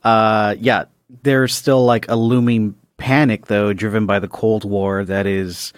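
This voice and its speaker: A man speaks as a podcast co-host, chatting casually as he explains and discusses stories. His pitch is average for a man. The recording is dry, without room echo. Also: darkish tone. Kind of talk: casual discussion with story summary.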